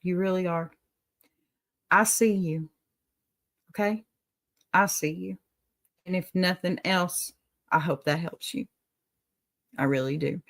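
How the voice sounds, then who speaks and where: A woman's voice: lowish voice, slow pace, long pauses, a bit bright. A middle-aged woman with a Texas accent hosting a livestream advice show, talking in a folksy, motherly way to her viewers. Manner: folksy and motherly, calls listeners honey and y'all. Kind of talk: warm encouraging livestream advice talk.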